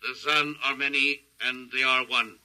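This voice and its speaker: Piercingly bright, emotionless alien captor. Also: male voice, medium pitch, little pitch movement, normal speed, clear, resonant tone, rough recording. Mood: detached, matter-of-fact. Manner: stiff, formal, emotionless, precise diction. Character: cold, literal-minded male alien captor. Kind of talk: 1950s radio drama acting.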